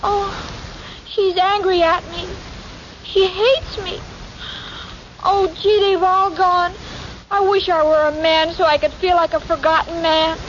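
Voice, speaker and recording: A dull, very noisy old radio recording of a sweet, muddle-headed girl. Her voice is extremely high and girlish. She speaks with earnest, literal-minded innocence in 1940s comedy style. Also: flat delivery, a little slow, long pauses. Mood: forlorn. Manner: naive, literal-minded, plaintive. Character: dim, sweet, muddle-headed young woman, eager to please.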